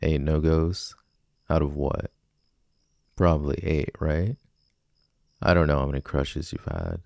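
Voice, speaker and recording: A man's voice: extremely low pitch, fairly even intonation, unhurried, gravelly, darkish tone, no echo, full character voice. A man playing a cocky, flirtatious villain in an ASMR romance roleplay, teasing and casually persuasive, close on the mic. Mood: smug teasing. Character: flirty, cocky male villain smitten with a civilian.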